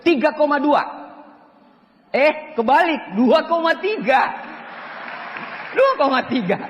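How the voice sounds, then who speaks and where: A man in his forties with a high voice speaks to a seminar crowd, boastful and joking, pushing his key points hard. His voice rings with the long reverb of a large hall. The recording sounds dull and lo-fi. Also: expressive, slow pace, fairly bright tone. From Central Sulawesi, Indonesia. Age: 40s. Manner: joking, boastful, emphatic, with rising pushes. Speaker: motivational speaker. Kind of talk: seminar talk to an audience.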